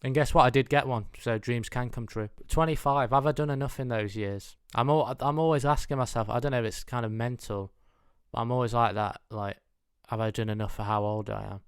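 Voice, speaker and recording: Young british bloke rambling comedy bits, dry mock-serious, clear smooth voice, no room echo. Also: male voice, medium pitch, fairly even intonation, a little fast. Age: mid 20s. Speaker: comedian, host of own show. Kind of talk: comedic monologue.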